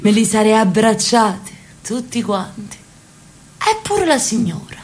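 An actress playing an elderly sister from Naples in a radio drama, telling a street story with lively, affectionate chatter. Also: female voice, fairly high pitch, measured pace. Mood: storytelling. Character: elderly Neapolitan sister, talkative, loves her city.

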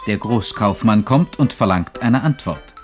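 A man's voice: lowish voice, expressive, measured pace, over music. A man narrating scene summaries for a radio drama in a scripted announcer's delivery.

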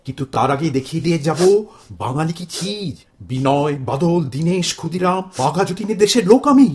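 A man acts out a middle-aged Bengali family man in an audio drama, with a high-pitched voice. He is pompous and indignant, declaiming like a self-appointed protest leader and hectoring whoever is listening. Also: lively intonation, a little fast, close to an everyday voice. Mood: defiant, grandiose. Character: self-important, indignant Bengali husband and father, zealous would-be protester. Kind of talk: stage-style radio play acting.

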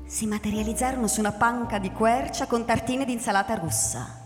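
A woman giving theatrical, expressive literary narration for a radio drama. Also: a little high, a little fast. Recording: echoey, long reverb, music underneath. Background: music.

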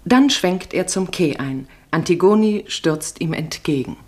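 Female radio drama narrator, lowish voice, measured literary delivery. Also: animated. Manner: measured, descriptive.

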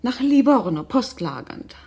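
Radio drama client: well-off middle-aged mother, very breathy, mellow, guarded and formal. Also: fairly high pitch, big pitch swings, a little slow, full character voice. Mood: worried, guarded. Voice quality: husky, breathy. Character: worried, reserved wealthy mother of a grown missing son. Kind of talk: naturalistic German radio drama.

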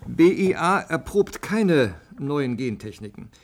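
A man's voice: a little high, sing-song, takes it slowly, exaggerated voice. An actor playing a prim, defensive male biology professor in a German radio drama, precise and correcting.

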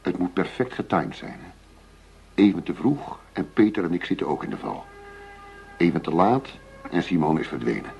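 A man's voice: low voice, sing-song, moderate pace, vocal fry, darkish tone, full character voice. An actor playing a shrewd undercover policeman posing as a tough dealer, firm and businesslike, in a radio drama. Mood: serious, uneasy. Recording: noisy radio play recording with ambience.

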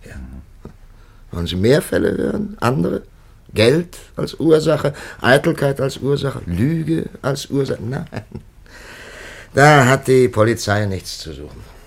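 World-weary prelate, gravelly, widely swinging intonation, hesitant, ponderous, 1950s radio drama. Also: male voice, medium pitch, sing-song, a little slow, exaggerated voice. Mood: bitter, emphatic. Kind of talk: 1950s German radio play acting.

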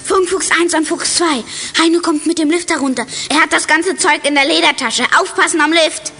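Cheeky bossy kid detective, high sharp voice, radio play for kids. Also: female voice, steady tone, brisk, soft background music. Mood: urgent. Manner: teasing, brash, commanding. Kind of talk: German children's radio play acting.

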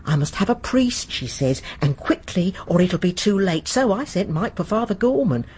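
A guarded, plain-spoken London landlady in a British radio drama. Her voice is husky, and she rises and falls in a lively way as she talks. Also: medium pitch, moderate pace. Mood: confiding, uneasy.

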